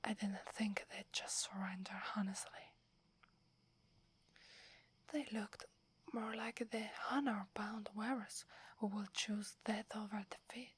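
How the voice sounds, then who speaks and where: A woman with an Italian accent voicing a loyal, deferential young werewolf warrior in a breathy, hesitant ASMR whisper roleplay. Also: mid-range voice, steady tone, slow, long pauses, a little hiss or hum, close to an everyday voice. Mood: relieved, reflective. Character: loyal, eager young female werewolf warrior devoted to her alpha.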